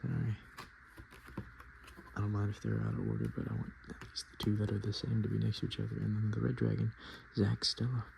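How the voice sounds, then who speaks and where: A man softly speaking and whispering in a casual, murmuring ASMR style, as host showing items to the viewer. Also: fairly low pitch, brisk, vocal fry, background noise. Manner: hushed, casual murmured asides. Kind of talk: ASMR, softspoken and whispered card show-and-tell.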